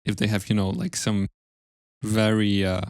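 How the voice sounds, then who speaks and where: A man's voice: fairly low pitch, level tone, moderate pace, clean tone, no room sound, clean studio sound. A man hosting a casual podcast interview, asking questions politely and a little hesitantly.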